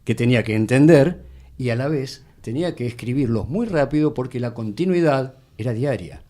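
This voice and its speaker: A man's voice: medium pitch, animated, normal speed, mellow. Argentine man explaining in conversation. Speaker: radio drama actor.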